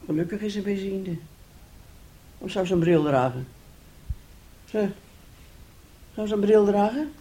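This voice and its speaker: A woman's voice: medium pitch, a little slow, long pauses, lightly acted. An actress in a radio drama playing a bitter, sarcastic middle-aged wife and mother who talks reproachfully and theatrically. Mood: anxious, nagging. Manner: reproachful, sarcastic, dramatic, swinging between hushed and loud. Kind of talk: radio drama acting in Dutch.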